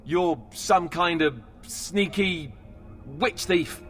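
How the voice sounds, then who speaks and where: An actor playing a wary, sardonic warrior companion in a fantasy video game, speaking in a dry, suspicious way. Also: male voice, a little high, very slow, a bit bright, soft background music. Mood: accusing, sarcastic.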